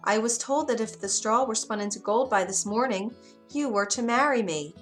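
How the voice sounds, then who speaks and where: Woman as a gentle, earnest young prince. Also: on the high side, normal speed, soft background music, lightly acted. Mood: reassuring. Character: kind, earnest young fairy-tale prince.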